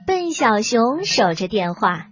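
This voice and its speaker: Clear music plays behind a woman telling a children's story in a slightly muffled recording. Her voice is fairly high and swings widely in pitch as she narrates. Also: very animated, slow pace. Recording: band-limited dull sound with music behind.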